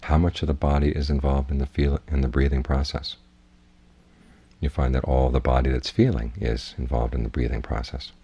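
A man with a husky voice giving a guided meditation talk as a Buddhist teacher, in measured, plainspoken instruction. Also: rumbling low, flat delivery, warm tone, muffled recording.

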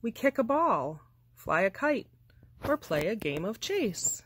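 A woman reading a children's picture book aloud with deliberate, expressive, measured phrasing. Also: medium pitch, a little hiss or hum. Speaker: storybook reader.

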